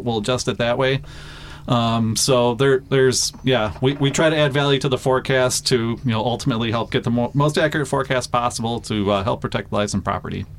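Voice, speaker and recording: A man working as a weather forecaster, chatting casually in a radio interview, full of fillers and quick laughs. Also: medium pitch, a little hiss or hum.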